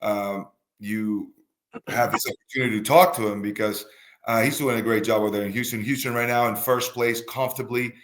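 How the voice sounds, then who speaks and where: A man's voice: mid-range voice, steady tone, brisk, background noise. A man from the US Northeast co-hosting a baseball talk show, enthusiastic and full of fillers. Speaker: baseball podcast co-host. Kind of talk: casual sports chat.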